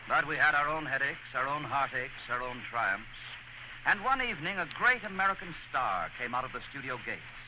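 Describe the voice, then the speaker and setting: Earnest male radio narrator, higher voice. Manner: earnest, theatrical. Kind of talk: dramatic scripted narration, 1940s radio. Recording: noisy old radio broadcast.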